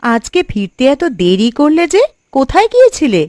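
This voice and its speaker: A woman voicing a supportive but sharp-tongued village wife and mother in a cartoon, talking in a homely, colloquial way. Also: high-pitched, huge pitch swings, moderate pace, dry recording, clean studio sound. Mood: caring. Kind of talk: Bengali cartoon story dubbing.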